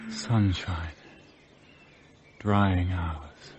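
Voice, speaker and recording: An actor playing an easygoing, tender young lover in a radio play, speaking in a relaxed, intimate way. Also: male voice, sits low, extremely slow, muffled recording, a little hiss or hum. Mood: tender, lazy. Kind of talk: British radio play acting.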